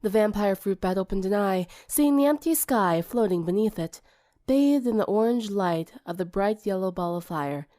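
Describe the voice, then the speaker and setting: A woman's voice: medium pitch, expressive, moderate pace, dark tone. Casting: female audiobook reader, mid-range voice, scripted fiction narration.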